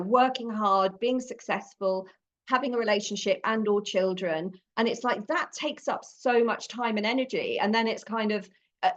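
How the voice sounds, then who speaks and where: A woman's voice: fairly high pitch, lo-fi sound. A woman in her late fifties, hosting a podcast and talking casually, chatty and full of fillers, laughing often. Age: 50s. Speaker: podcast host.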